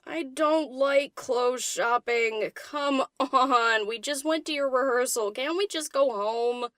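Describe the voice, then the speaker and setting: A woman's voice: high-pitched, slow pace, a bit bright, no room sound, clean studio sound. A woman voicing an easygoing young-spirited DJ pony in a fan audiobook, casual, slangy and teasing. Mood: whiny reluctant. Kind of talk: fan audiobook character acting.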